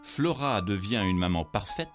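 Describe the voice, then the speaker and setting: A man narrating a scripted children's story in an expressive, theatrical way. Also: on the low side, moderate pace. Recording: music playing behind the voice.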